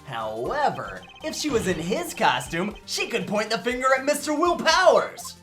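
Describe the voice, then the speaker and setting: Man acting a bright, animated cocky defense lawyer. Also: sits high, moderate pace, faint music behind. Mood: confident. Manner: theatrical, sarcastic, emphatic. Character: cocky, wisecracking young male defense lawyer. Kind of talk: fan-dub visual novel game acting.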